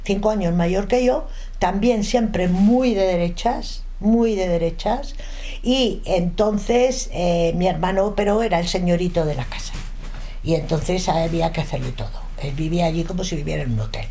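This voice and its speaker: A woman's voice: lowish voice, moderate pace, mellow. A woman in her seventies with a Madrid Spanish accent, recalling her life emphatically in an interview. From Madrid, Spain. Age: 70s. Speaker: interview guest. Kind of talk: casual reminiscence in an interview. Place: indoors at home.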